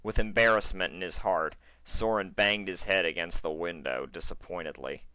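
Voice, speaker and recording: A man's voice: deep voice, moderate pace, bright, forceful tone, smooth, no room sound. A man narrating a fan fiction story in a dramatic, scripted reading style.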